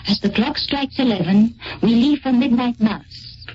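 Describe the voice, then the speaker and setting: A woman's voice: on the high side, a little slow. A woman with a French accent giving a solemn, earnest scripted radio address in the 1940s. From France. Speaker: Free French army volunteer, guest speaker. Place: radio studio. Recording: muffled, noisy 1940s radio recording.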